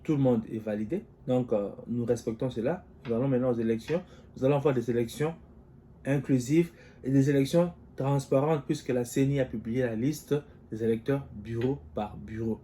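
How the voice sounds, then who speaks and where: A man delivers political commentary on his own. His voice is mellow and warm, set at an ordinary pitch for a man. He talks in a measured, deliberate way, thinking aloud and turning his points into questions for his listeners. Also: slight background noise. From DR Congo. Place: living in the United States.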